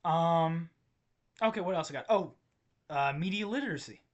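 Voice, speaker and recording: A man hosting a solo comedy podcast and ranting in a rambling, excitable way that keeps interrupting itself. His voice is tired and strained. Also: a little high, moderate pace, dull audio. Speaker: podcast host.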